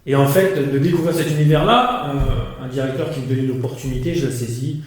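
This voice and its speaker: Animated man recounting his career, echoey room. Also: medium pitch, a little fast. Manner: animated storytelling with many restarts and fillers. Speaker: guest. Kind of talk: conversational life-story interview.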